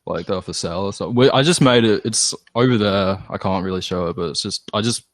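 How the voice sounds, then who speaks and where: A man's voice: on the low side, quick pace, dry recording. A man with an Australian accent chatting casually, hesitant, self-deprecating and sweary. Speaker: band musician, podcast guest. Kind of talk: casual chat.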